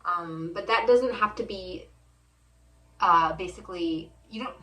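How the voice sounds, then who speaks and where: A soft-spoken woman co-hosting a casual chat, explaining step by step with many pauses and ums. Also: on the low side, distant mic, rough recording. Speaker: co-host.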